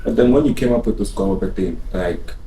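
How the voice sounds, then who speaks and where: A man's voice: fairly low pitch, fairly quick, mellow, slight background noise. A man co-hosting a podcast, prodding the guest with teasing questions. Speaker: podcast co-host. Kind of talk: casual podcast interviewing. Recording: echoey room with faint ambience.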